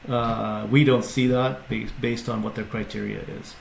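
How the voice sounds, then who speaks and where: A man's voice: medium pitch, fairly even intonation, normal speed, gravelly, a little hiss or hum. A man, a company CEO, talking casually as a podcast guest, explaining at length with restarts and fillers. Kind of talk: casual interview talk. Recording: echoey room with faint ambience.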